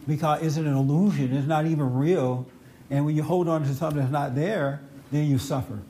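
A man's voice: on the high side, fairly even intonation, a little fast, warm tone, slight background noise. A man hosting an unscripted, opinionated group discussion. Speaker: host leading a group discussion.

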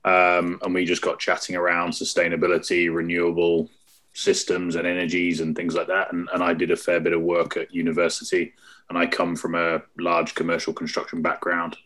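A man from the UK, a startup co-founder, answering interview questions in a relaxed, conversational way over a call. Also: low voice, a little fast.

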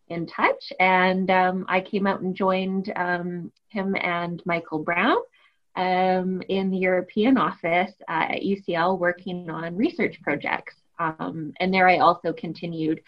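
A Canadian woman in her thirties answering interview questions in a friendly, fluent way, with frequent ums. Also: fairly low pitch. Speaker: grant proposal manager. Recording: muffled lo-fi audio.

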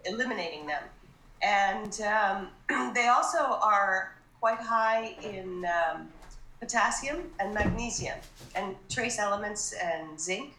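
A woman's voice: mid-range voice, expressive, takes it slowly, sharp tone, slight background noise. A woman from Greece chatting as a guest in an interview, with frequent ums and restarts. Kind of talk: casual interview chat. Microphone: call audio. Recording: roomy echo.

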